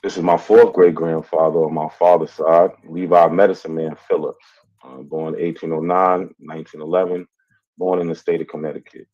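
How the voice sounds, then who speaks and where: Brief: very deep-voiced man, casual hesitant explainer, dull lo-fi recording. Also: little pitch movement, a little mellow. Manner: hesitant, many filler pauses. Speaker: amateur genealogist.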